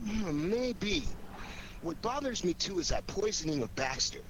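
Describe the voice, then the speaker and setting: An actor playing a wry, confident, commanding amateur detective in a 1940s radio crime drama. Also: male voice, sits high, very animated. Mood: pensive, wry. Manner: wry, quick-witted, commanding. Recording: noisy old 1940s radio recording.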